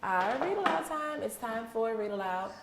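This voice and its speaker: A woman's voice: a little high, expressive, moderate pace. A woman teacher leading an interactive read-aloud for young children, encouraging and praising, with simple repeated questions and instructions. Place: indoor classroom with young children. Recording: echoey classroom, faint background noise.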